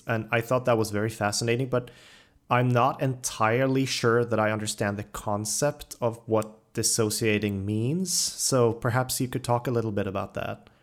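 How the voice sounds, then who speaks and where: A man hosting a casual interview, speaking in a tentative, attentive way and often hedging. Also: fairly low pitch. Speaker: podcast host, interviewer.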